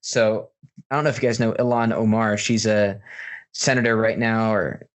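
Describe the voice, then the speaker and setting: A man's voice: fairly low pitch, fairly even intonation, normal speed. A man co-hosting a podcast, explaining things step by step in an informal, hedging way. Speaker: podcast co-host. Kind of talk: casual explanatory podcast chat.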